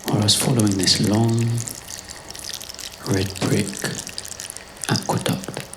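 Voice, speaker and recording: A man's voice: on the low side, level tone, slow, noisy background. A man performing a spoken-word monologue in a musing, conversational way, repeating his phrases. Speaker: performance artist, writer performing own piece. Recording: live club performance with music behind. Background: prominent music.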